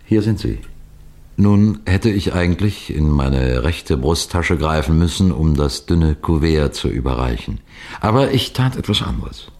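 A man playing a composed, clever police detective, recounting a case in measured, precise radio mystery narration. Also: rumbling low, sing-song, a little mellow, heavily acted. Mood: measured, suspenseful. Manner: measured, precise, deliberate storytelling.

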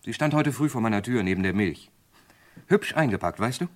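Guy playing nervous groom in an old 60s radio play, lowish voice, hesitant, confiding in a friend. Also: expressive, a little fast, lightly acted. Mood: uneasy. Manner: anxious, hesitant. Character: worried bridegroom confiding in his detective friend. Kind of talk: 1960s German radio crime drama acting.

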